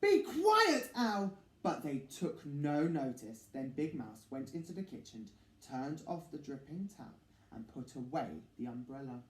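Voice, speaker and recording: A man reading a picture book to children as an expressive storyteller, with theatrical emphasis and dramatic pauses. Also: medium pitch. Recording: echoey room.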